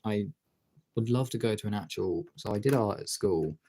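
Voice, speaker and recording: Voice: deep voice, moderate pace, dark tone, slight background noise. A British streamer chatting casually and informally to viewers, thinking aloud and swearing now and then. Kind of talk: casual livestream chat.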